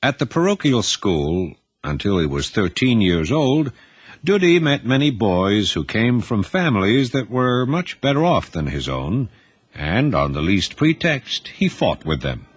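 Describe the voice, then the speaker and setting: Man, narrator, deep voice, animated storytelling reading. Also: a little slow, husky, dry recording. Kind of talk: scripted audiobook narration.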